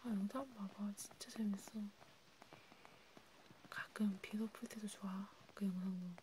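A woman whispering in a breathy, hushed, intimate way, chatting casually as in an ASMR roleplay. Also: mid-range voice, unhurried. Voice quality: breathy, mostly unvoiced whisper. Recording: steady background noise.

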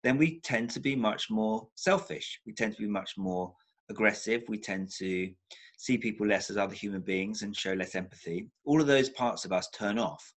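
An older man with a fairly low voice talks through a webcam on a video call from a room at home. He thinks aloud, circling back and repeating words for emphasis as he builds his point. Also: moderate pace. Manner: thoughtful, many restarts, repeats words for emphasis. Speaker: psychotherapist guest. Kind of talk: reflective video-call interview. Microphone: laptop webcam on a video call.

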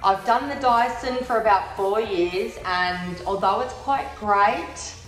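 A woman's voice: mid-range voice, a bit bright. Aussie girl chatting into her phone in a big echoey kitchen, bubbly, a bit cheeky, faint music under. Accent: Australian. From Australia. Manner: chatty, bubbly, cheeky, breaks into little sung bits. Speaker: vlogger. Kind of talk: casual product review vlog. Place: large modern kitchen and living room indoors. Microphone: phone camera on the bench, moving around the room. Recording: roomy echo with faint music.

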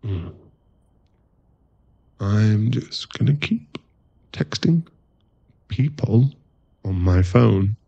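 A man playing a playful, teasing boyfriend in an intimate ASMR roleplay, talking haltingly and acting mock-offended and cajoling. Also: lowish voice, expressive, vocal fry, dark tone, husky, no room sound, muffled recording, full character voice. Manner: teasing, halting, intimate one-sided chat.

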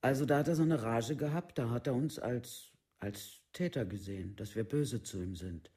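Radio play, earnest weary male relative, mid-pitched, mellow, slightly rough, everyday speech. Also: normal speed. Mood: bewildered, subdued. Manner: earnest colloquial recounting with quoted dialogue. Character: worn-down, earnest relative of an alcoholic. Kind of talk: documentary radio play monologue.

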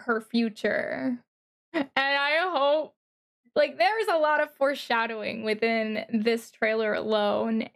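A woman with a fairly high voice reacts to a game trailer as a streamer. She speaks very slowly, pausing mid-phrase, with an awestruck, gushing manner.

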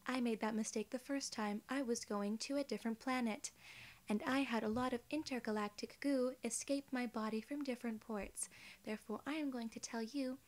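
A soft-spoken woman in her twenties doing an ASMR role-play as a polite alien gatekeeper, with measured, formal phrasing, close to the microphone. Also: a little high. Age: 20s. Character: young, polite, friendly female alien gatekeeper. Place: small indoor room.

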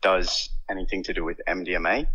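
A man's voice: low voice, flat delivery, fast, bright, forceful tone, slightly rough. A teenage Australian male chatting casually on a phone call as the one being pranked.

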